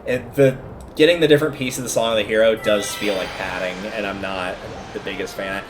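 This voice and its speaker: A man's voice: on the low side, steady tone, fairly quick. A man giving casual livestream commentary while playing a video game, rambling a bit as he thinks aloud. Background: video game music.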